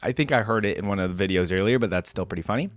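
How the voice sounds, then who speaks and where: A man's voice: fairly low pitch, racing, soft background music. A man hosting a reaction video, chatting casually in an easygoing, easily amused way.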